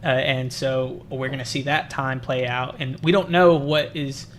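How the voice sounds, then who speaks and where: Man, medium pitch, casual movie talk, halting and repetitive phrasing, slight background noise. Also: steady tone, fairly bright tone. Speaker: film review podcast host.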